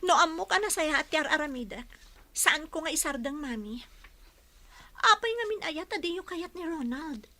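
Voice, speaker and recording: A woman's voice: high-pitched, lively intonation, moderate pace, pushed and bright. A young woman acting a pleading, defiant daughter in love, in an Ilocano radio drama. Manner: pleading, insistent.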